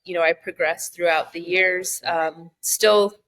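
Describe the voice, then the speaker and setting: A woman's voice: fairly low pitch, measured pace, poor audio. An American woman in her thirties, a professional footballer talking casually as a guest on an interview show, with lots of "you know" and "um". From United States. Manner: relaxed, many fillers like "you know" and "um".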